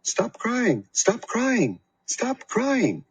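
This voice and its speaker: A man's voice: huge pitch swings, extremely slow, slightly rough, full character voice. A man doing a shrieking furious aunt. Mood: screaming. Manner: shrill, screaming, harsh. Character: furious aunt screaming at a child. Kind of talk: audiobook reading.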